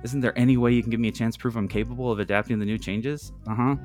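A man's voice: mid-range voice, very animated, moderate pace, soft background music, pitched above the performer's own voice, close to an everyday voice. A man voicing a dejected, weary middle-aged mother in a retold sitcom story, with an emotional manner. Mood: pleading. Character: dejected middle-aged mother, pleading, stern when needed.

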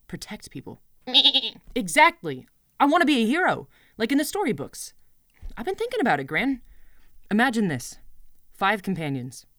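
A woman's voice: low-pitched, gravelly, dry recording, studio quality, heavily acted. Woman voicing a fiery young farm girl who dreams of heroics. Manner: impassioned, swinging from hushed to shouting.

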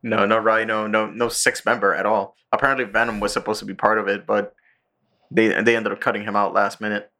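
A man's voice: on the low side, flat delivery, fairly quick, pushed and bright. A man co-hosting a podcast, chatting casually about movie news, rambling with lots of ums and likes and frequent swearing. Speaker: podcast co-host.

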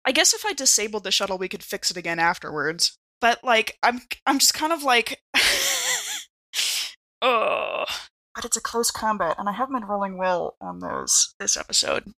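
A woman's voice: medium pitch, huge pitch swings, normal speed, bright, forceful tone, no room sound. A woman chatting casually as a player in a tabletop role-playing game, thinking aloud in an informal way.

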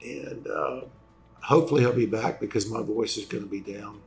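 A man with a raspy voice, a lawyer hosting a casual explanatory talk, halting with fillers and restarts as he loses his voice. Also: on the low side, a little mellow, faint music behind. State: losing voice after vocal cord injections. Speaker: personal injury lawyer and host.

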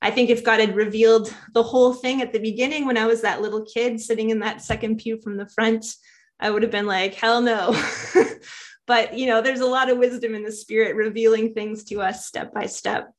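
A woman pastor shares her personal story with a church gathering. Her voice sits a little high, and she speaks in a heartfelt, reflective way. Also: fairly even intonation, a little fast.

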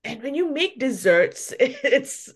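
A woman's voice: high voice, sing-song, takes it slowly, husky. A woman from Malaysia, a pastry chef and shop owner, talking reflectively about her story in a casual interview and laughing often.

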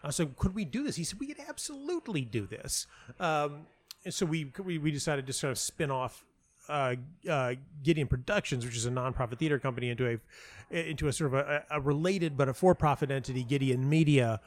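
A man's voice: on the high side, animated, fairly quick. A middle-aged man telling stories as an interview guest, stammering and repeating words, acting out the dialogue he quotes. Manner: animatedly acts out quoted dialogue. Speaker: interview guest, playwright and audio dramatist. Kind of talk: conversational anecdotal storytelling.

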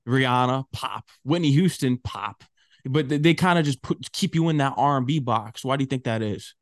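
A male host keeps an interview moving with friendly, agreeable questions. His voice sits in a middle pitch, and the recording is dry and close. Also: normal speed, no room sound. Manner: agreeable, conversational. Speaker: radio show host.

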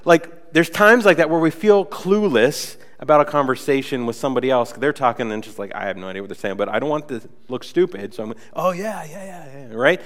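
A man's voice: medium pitch, a little fast, few pauses, in a large hall. A young man preaching a casual, conversational sermon in a church, a bit nasal from a cold and full of chatty fillers. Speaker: pastor. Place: church sanctuary.

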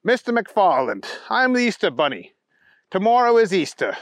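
Man playing a high-pitched, indignant, pompous storybook bunny. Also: sing-song, normal speed, a bit bright, no room sound. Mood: insistent, aggrieved. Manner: prim, formal, lecturing. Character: indignant, fussy, pompous talking Easter Bunny, male. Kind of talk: children's storytelling character voice.

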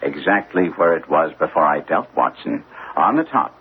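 An actor playing a shrewd, commanding detective with precise, assured, instructive delivery in an old-time radio drama. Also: male voice, a bass voice, very animated, moderate pace, exaggerated voice. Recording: tinny, noisy old radio broadcast.

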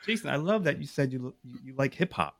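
A man's voice: medium pitch, expressive, quick pace, vocal fry, no echo. Man chatting casually in a dry, close recording. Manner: enthusiastic, uses rhetorical questions. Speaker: podcast co-host. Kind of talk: casual chat, pitching a list of picks to the group.

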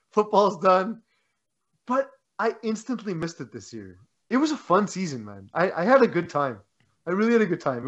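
A man co-hosts a casual sports podcast, talking loosely with many restarts. His voice sits a little high. The recording is muffled and lo-fi. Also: a bit bright. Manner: rambling, frequent restarts and fillers.

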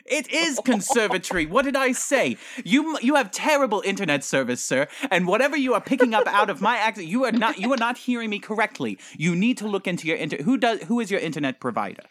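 A man voicing a pompous, bumbling, easily offended professor with a put-on foreign accent, halting and stumbling over words in an improvised comedy podcast. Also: high voice, big pitch swings, fairly bright tone. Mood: defensive.

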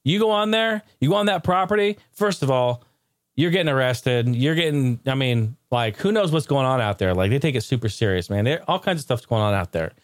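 A man hosting a podcast in casual comedic chat, talking in a jokey, excitable, informal way. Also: medium pitch, brisk, no room sound, clean studio sound. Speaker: podcast host.

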